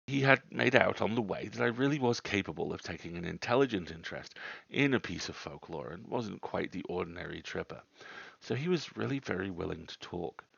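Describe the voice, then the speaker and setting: A man narrates a classic ghost story on a livestream. His voice is fairly low with plenty of fry in it. The sound is a little dull. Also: animated, fairly quick, a bit bright. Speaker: story reader, livestream host. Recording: roomy, dull livestream audio.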